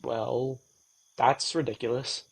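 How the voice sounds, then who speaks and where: A man's voice: medium pitch, little pitch movement, slow pace, vocal fry, breathy edge, no echo, exaggerated voice, low-quality recording. A man playing a weary, sardonic, reluctant young vampire in an intimate audio drama, guarded and dry. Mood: teasing.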